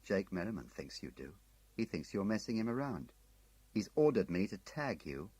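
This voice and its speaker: A pushy, mocking young agent, as a male audiobook reader puts him on, with a deep, husky voice pushed up from the reader's low register and a lively, swinging pitch. The delivery is jabbing and taunting. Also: normal speed, no room sound.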